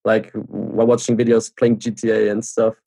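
An eighteen-year-old man from South Tyrol in Italy, a native German speaker, chatting casually as an interview guest in halting second-language English. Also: lowish voice, completely flat delivery, creaky, warm tone. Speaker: podcast guest, up-and-coming music artist.

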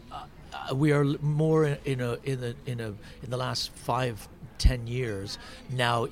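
A man who is a stand-up comedian, chatting on a podcast, thinking aloud with many restarts. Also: medium pitch. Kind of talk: casual podcast chat. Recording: noisy background.

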